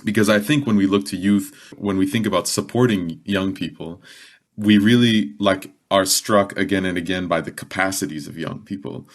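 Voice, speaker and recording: A man's voice: low voice, normal speed. A man speaking reflectively as a guest in a podcast panel discussion. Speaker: podcast guest, youth education worker.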